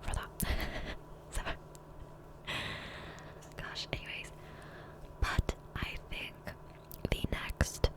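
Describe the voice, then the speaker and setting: A woman speaking in a soft, breathy whisper close to the microphone, rambling casually and self-deprecatingly as an ASMR gaming creator. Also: extremely slow, pauses often. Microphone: close USB condenser microphone.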